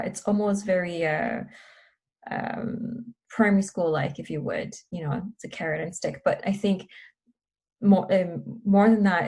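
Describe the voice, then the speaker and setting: Gravelly, husky woman talking thoughtfully. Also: lowish voice, darkish tone. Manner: thoughtful, pauses with many fillers. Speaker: researcher guest. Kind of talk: casual panel discussion.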